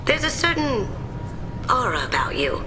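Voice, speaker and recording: A woman's voice: a little high, very animated, normal speed, over music. A woman playing a sly, playful young master thief in a video game, with a breezy, teasing, wry delivery. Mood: playful, coy.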